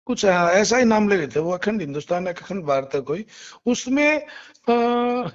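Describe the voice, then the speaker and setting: A man speaking as a lawyer in a phone interview, explaining a case in halting, repeated phrases with polite acknowledgements. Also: high-pitched, expressive. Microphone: phone call. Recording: muffled, lo-fi phone call recording.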